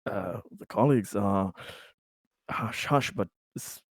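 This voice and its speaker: A man acting a furtive, ingratiating male corporate type in a tabletop game, with halting delivery. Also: on the low side, no echo, studio quality, voice pushed down. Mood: smooth, sly.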